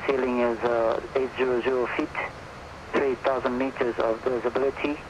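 Controller on a dull radio channel, hesitant delivery over static. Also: male voice, mid-range voice, level tone, moderate pace. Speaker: air traffic controller. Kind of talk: radio clearances.

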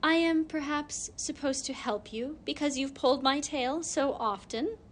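A smug, sly farm cat, as a woman puts it on, speaking softly with a mocking edge. A storybook voice, fairly high. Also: unhurried, slight background noise. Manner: soft, mocking.

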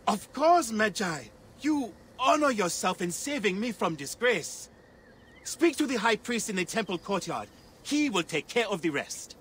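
An anxious temple priest with a high, bright, lively voice. He talks with emphatic, excitable bursts in a video game, soft music behind. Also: unhurried, slight background noise, full character voice.

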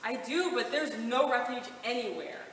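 A woman's voice: a little high, normal speed, a bit bright, close to an everyday voice. A woman playing an anxious, wounded outcast of an ancient city in a stage drama, with emphatic delivery. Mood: weary, dejected. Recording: echoey hall, far from mic, faint noise.

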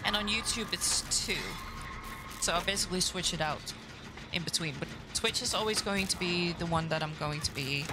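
Dutch lady chatting live while she plays, game music loud behind her. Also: fairly low pitch, moderate pace. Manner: chatty, reacts aloud to the match. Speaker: game streamer. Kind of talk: casual live-stream chat while gaming.

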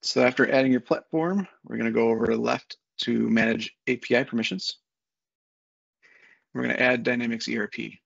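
A man in his thirties walking through a software setup on a screen share, talking casually step by step with fillers, recorded on a desk mic at home. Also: mid-range voice, fairly even intonation, fairly quick, vocal fry, breathy edge, no echo. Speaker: software consultant. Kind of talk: screen-share tutorial walkthrough. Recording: dull lo-fi dry sound.

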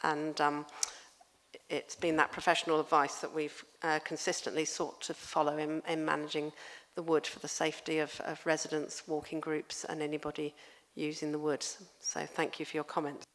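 Woman chair, low steady voice, flat delivery, hall reverb, polite and measured. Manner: measured, polite, with frequent fillers. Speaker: meeting chair. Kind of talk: chairing a council meeting. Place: large hall with long tables.

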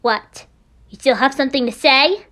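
A woman's voice: high-pitched, normal speed, fairly bright tone, voice pushed down. A woman voicing a brash, hot-tempered teenage girl in an anime game, blunt and scolding. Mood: dismissive. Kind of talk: amateur anime game voice acting.

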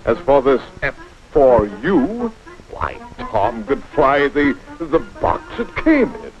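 An actor playing a pompous mock-heroic narrator of a 1940s cartoon, florid and theatrical. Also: male voice, medium pitch, sing-song, slow pace. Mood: arch, breezy. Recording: muffled 1940s film soundtrack, music behind.

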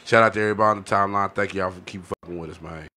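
An American man with a low voice hosts a podcast in a casual, rambling way. His delivery stays flat and even, close on a studio mic. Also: very fast. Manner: rambling, frequent "you know what I'm saying". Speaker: podcast host. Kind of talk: casual podcast sign-off talk. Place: small indoor podcast studio. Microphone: podcast mic on boom arm, headset.

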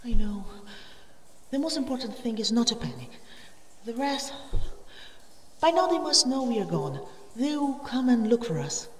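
A woman's voice: a little high, sing-song, measured pace. A woman playing a headstrong, curious cave explorer in an audio drama, speaking in an eager, goading, dramatic way. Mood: steadying. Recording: echoey cave-like reverb, distant, faint noise.

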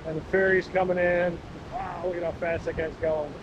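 A man's voice: high-pitched, flat delivery, normal speed, muffled recording, noisy background. Chatty middle-aged man on a coastal hike. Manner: chatty, rambling, curious. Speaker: hiking vlogger. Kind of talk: casual walking commentary. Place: rocky seaside trail outdoors. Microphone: 360 camera on a pole.

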